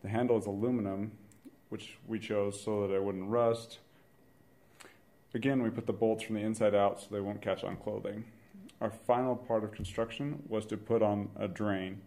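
Young guy walking through a diy build step by step, mellow low voice. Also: moderate pace. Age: 20s. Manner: steady, instructional. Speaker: engineering student presenter. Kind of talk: project explanation and demonstration.

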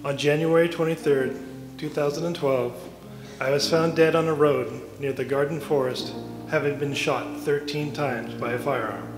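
A man's voice: on the high side, steady tone, moderate pace. A middle-aged man reads prepared text aloud solemnly as a memorial volunteer. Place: church lectern, indoors. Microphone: lectern gooseneck microphone. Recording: echoey hall, guitar music behind.

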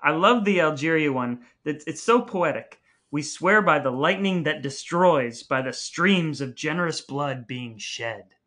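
A man's voice: on the high side, animated, measured pace, few pauses, a bit bright. A man co-hosting a podcast with casual, playful banter and dry humour. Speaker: podcast co-host.

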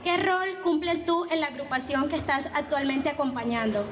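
Young female interviewer, high voice, formal and measured delivery, slight hiss. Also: a bit bright. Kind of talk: reading interview questions. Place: indoor hall. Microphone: handheld microphone.

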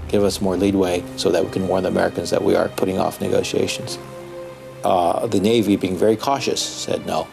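A man in his forties explaining history in a documentary interview, speaking haltingly as an expert. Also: sits low, creaky. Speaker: history professor, expert commentator. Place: indoor room, seated interview. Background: music.

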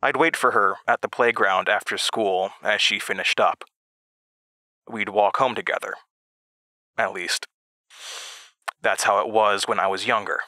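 An American man in his twenties playing a weary, sardonic Midwestern horror radio host in an audio drama, with a dry, halting manner. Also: on the low side, fairly even intonation, bright, forceful tone, no echo. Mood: reflective. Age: 20s. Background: music behind the voice.

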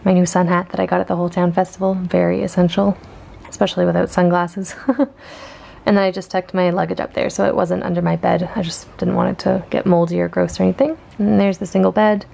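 Young woman giving a gravelly casual tour. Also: lowish voice, level tone, quick pace, warm tone. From Canada. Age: young adult. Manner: chatty, upbeat, with filler ums and small laughs. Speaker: student vlogger. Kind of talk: casual walkthrough narration. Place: outdoors on campus, then indoors in a dorm room.

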